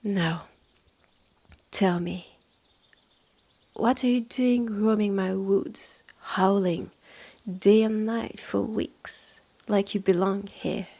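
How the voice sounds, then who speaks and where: Haughty mistress of the woods, played by a woman: French accent, slow and condescending, gravelly edge, roleplay audio. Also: mid-range voice, steady tone, dark tone, exaggerated voice. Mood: stern, territorial. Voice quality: husky, breathy. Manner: slow, measured, condescending, with breathy drops to near-whisper. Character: haughty, territorial witch's sister running a secretive woodland hotel. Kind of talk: scripted roleplay audio drama.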